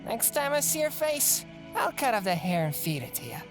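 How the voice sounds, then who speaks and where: A woman's voice: on the high side, very animated, moderate pace, over music, low-quality recording. A woman playing a spiteful, sneering teenage gang punk who taunts and needles in an audio drama.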